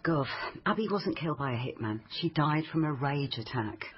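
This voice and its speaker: A woman's voice: low voice, lively intonation, moderate pace. A woman playing a persistent, probing police family liaison officer in a naturalistic radio drama. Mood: insistent. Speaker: actor in a crime drama. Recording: dull radio recording, faint noise.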